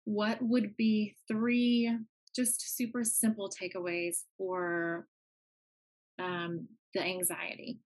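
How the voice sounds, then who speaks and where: A woman's voice: mid-range voice, level tone, slow, poor audio. A woman co-hosting a podcast, working her way loosely through a long interview question. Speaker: podcast co-host.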